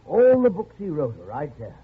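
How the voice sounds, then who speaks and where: A man's voice: a little high, very animated, normal speed. Fussy eager old man in a 40s radio play, stammers a bit, voice swings all over, tinny noisy recording. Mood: fond, wistful. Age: older. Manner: hesitant, stammering, repeating himself. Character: eager, gently obsessive old man who adores Dickens. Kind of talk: 1940s radio acting.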